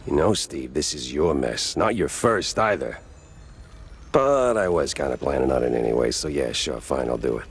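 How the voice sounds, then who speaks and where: Casting: weary noir mouse gumshoe, American, bottom-register gruff voice, dry and streetwise, cartoon detective game. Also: male voice, extremely low pitch, lively intonation, normal speed, exaggerated voice. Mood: grudging. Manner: wry, hard-boiled, slangy. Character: world-weary cynical mouse private investigator, war veteran. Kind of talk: 1930s noir detective acting.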